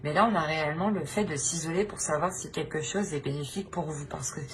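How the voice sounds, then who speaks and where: A woman's voice: sits low, quick pace, slight background noise. A woman giving an unscripted tarot reading to viewers, searching for words and often rephrasing herself. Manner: hesitant, searching, repeats and rephrases phrases. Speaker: tarot reader, channel host.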